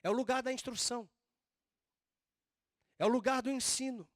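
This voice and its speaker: High-voiced Northeastern Brazilian pastor preaching. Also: male voice, steady tone, no echo, clean studio sound. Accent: Northeastern Brazilian Portuguese. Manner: drawn-out, emphatic preaching with slow stressed phrases. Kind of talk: sermon.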